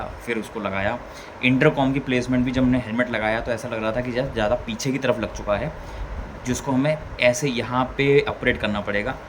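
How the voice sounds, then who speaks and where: A man giving a casual hands-on tutorial as a vlogger, informally thinking aloud step by step. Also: lowish voice. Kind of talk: casual hands-on tutorial chat in Hindi mixed with English words. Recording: clearly noisy background ambience.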